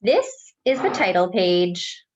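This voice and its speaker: A woman reading a children's picture book aloud in an expressive, careful, teacherly way. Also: fairly low pitch, slow pace, lo-fi sound, slight background noise.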